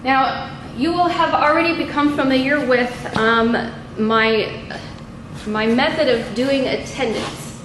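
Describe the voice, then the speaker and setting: A middle-aged woman instructor giving an unscripted, methodical lab demonstration in a halting way, picked up by a camera a few feet away in a small room. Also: sits high. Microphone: camera mic a few feet away. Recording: echoey room with clear background noise.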